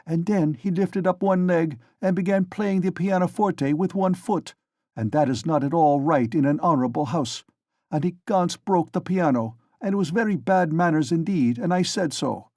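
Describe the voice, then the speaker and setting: A flustered landlady with a German accent, rattling off her excuses in a rapid patter, as a man reads her. The voice sits well above the reader's own. It is dry and close, with no room around it. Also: fairly high pitch, darkish tone, dry recording, pitched above the performer's own voice. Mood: indignant pleading. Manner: rapid pattering, repeated "Mister Captain".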